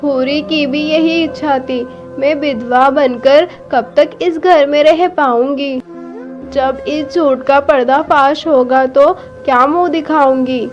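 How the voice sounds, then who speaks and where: A woman's voice: high voice, steady tone, slow pace, faint music behind. A woman voicing Tuntuni, an anxious young female bird who pleads tearfully, on dull lo-fi audio. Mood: guilty.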